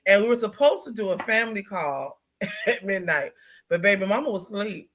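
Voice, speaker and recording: A woman's voice: mid-range voice, animated, normal speed, fairly bright tone, a little hiss or hum. A middle-aged woman hosting a talk show in casual chat, laughing and teasing her co-hosts.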